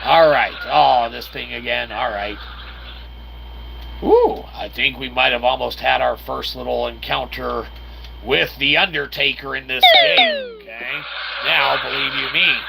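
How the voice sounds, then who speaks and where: A man giving casual video game commentary in a playfully cocky manner, talking as "we", with a gravelly voice. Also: medium pitch, sing-song, unhurried, background noise. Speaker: gameplay host.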